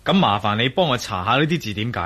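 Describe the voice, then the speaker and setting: A confident radio-drama adventurer, quick-talking and rough-edged in voice. He has a Hong Kong Cantonese accent and a brisk, persuasive way of pressing his plans. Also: medium pitch, animated, a bit bright. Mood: assured, persuasive.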